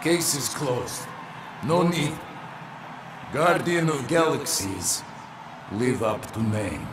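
Gruff game space dog, Russian accent, clipped phrasing, unhurried, echoey, noisy background. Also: male voice, medium pitch, animated. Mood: stern. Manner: clipped, article-dropping speech. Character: gruff, dutiful talking space dog, male. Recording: roomy, with clear background noise.

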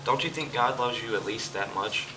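A man's voice: fairly low pitch, moderate pace, background noise, close to an everyday voice. A man playing Jesus in an amateur church stage play, explaining earnestly and patiently to his dinner guest. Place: church hall stage, indoors. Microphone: far camera. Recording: distant camera with music behind.

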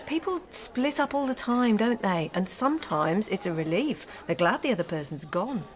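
A woman's voice: medium pitch, sing-song, normal speed, close to an everyday voice. A woman acting in a radio drama as a trusting, easily defensive lover of a married man.

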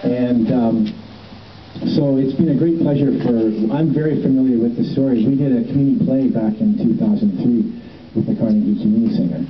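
A man's voice: mid-range voice, fairly quick, a little hiss or hum. Middle-aged man rambling outdoors, far off. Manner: relaxed, rambling, many ums and restarts. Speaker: festival producer welcoming a walking tour. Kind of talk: casual outdoor welcome speech. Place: outdoors on a city street. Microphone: handheld microphone heard from a distance. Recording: roomy, distant, dull phone-camera sound.

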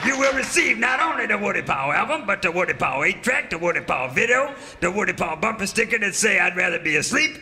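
A man playing a folksy, excitable old cowboy pitchman in a parody radio commercial. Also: fast, few pauses, background noise. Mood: excited hard sell. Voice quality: creaky.

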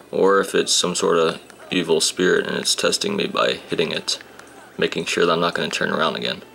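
A young man commentating a handheld game, casual and grumbling, his voice a bit rough today. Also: low-pitched, steady tone, moderate pace, long pauses, sharp tone. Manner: grumbling, sarcastic about the game. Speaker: gameplay commentator. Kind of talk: casual let's-play commentary. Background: game music.

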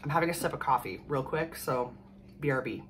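Woman, low voice, hoarse, chatty and rambling, home vlog, faint room hum. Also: brisk. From Canada. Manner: rambling, chatty, laughs often. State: hoarse from a cold. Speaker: vlogger. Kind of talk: casual chat to camera. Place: small room at home, indoors. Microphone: camera in front at desk distance.

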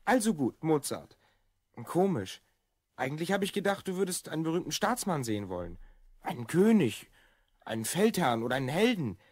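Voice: medium pitch, very animated, normal speed, a little mellow, slightly rough, no room sound, clean studio sound. An actor voicing an earnest, slightly bossy twelve-year-old boy time traveller in a German children's audio drama. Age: child figure, twelve.

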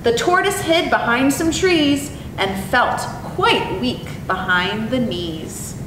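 A young woman presenting as a zoo educator and reading to children in an expressive, animated way. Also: slow pace. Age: young adult. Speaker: zoo educator and storytime host. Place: indoor room with painted animal mural. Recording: echoey, distant mic with clear rumbling noise.

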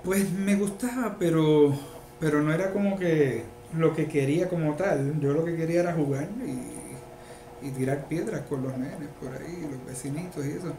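Middle-aged male guest, Puerto Rican accent, fairly high voice, halting casual delivery, roomy echo. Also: warm tone. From Puerto Rico and the mainland United States. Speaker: interview guest, luthier and musician. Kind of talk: casual interview talk. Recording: echoey room, faint background noise.

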